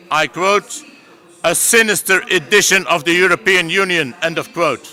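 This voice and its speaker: A man's voice: high voice, pushed and bright. A man giving a declamatory political speech at a rally, speaking with emphasis. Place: conference hall.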